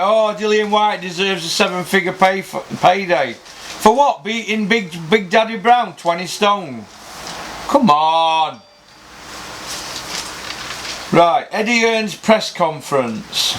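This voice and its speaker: A man's voice: a little high, a bit bright, slight background noise. A man hosting his own boxing talk show, ranting bluntly with lots of swearing and rhetorical questions. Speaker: boxing pundit host. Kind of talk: opinionated casual rant.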